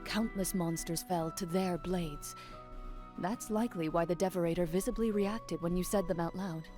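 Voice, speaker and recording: A composed woman duelist voiced by an actress speaks in a lowish, measured voice. She is formal, stoic and understated, an honourable hunter in an anime game, with music faintly underneath. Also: close to an everyday voice. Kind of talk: anime video game voice acting.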